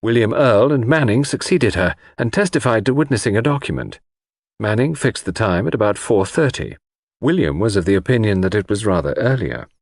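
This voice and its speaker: A man's voice: on the low side, lively intonation, brisk, no echo, clean studio sound. A man reading scripted narration of a classic mystery as an audiobook narrator.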